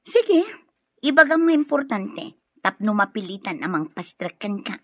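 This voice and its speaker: A woman's voice: medium pitch, sing-song, normal speed, husky, dry recording. An actress playing a greedy, conniving woman who speaks insistently in an Ilocano radio drama. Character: scheming, greedy woman urging her male accomplice on. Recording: dull lo-fi radio drama sound.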